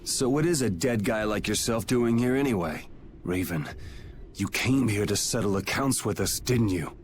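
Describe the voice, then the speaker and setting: A man's voice: on the low side, normal speed, soft background music, lightly acted. An actor playing a blunt, wry young swordsman who leads his group in a video game cutscene. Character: cocky young swordsman leader.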